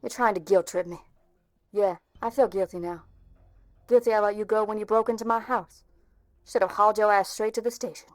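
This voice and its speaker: A woman's voice: medium pitch, fairly even intonation, a little fast, dry recording, natural voice, poor audio. A woman playing a stern, bitter police officer in an amateur game fan dub, with a cutting, sarcastic delivery. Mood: sarcastic, angry. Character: stern, bitter policewoman confronting an old friend.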